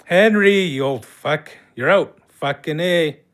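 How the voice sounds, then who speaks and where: A man's voice: fairly high pitch, takes it slowly, a bit bright. A man acting in an audio play as a brash, pushy, hard-drinking cousin with a Canadian accent who swears and needles. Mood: boisterous.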